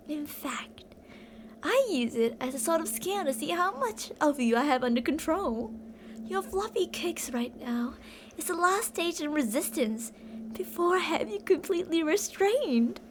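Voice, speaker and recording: A woman's voice: extremely high pitch, a little hiss or hum. A woman playing an obsessive, possessive yandere captor in an ASMR roleplay, intimate and doting with endearments yet menacing. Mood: taunting. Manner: intimate, cooing endearments, drops to whispers. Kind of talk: ASMR roleplay, scripted monologue.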